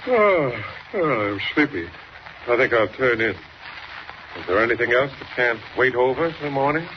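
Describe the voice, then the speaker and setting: An actor playing a stubborn, commanding governor in a 1940s radio drama, brusque and blustering. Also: male voice, medium pitch, big pitch swings, a little slow. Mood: sleepy, brisk. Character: stubborn, blustering state governor, a grown man. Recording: dull, noisy old radio recording.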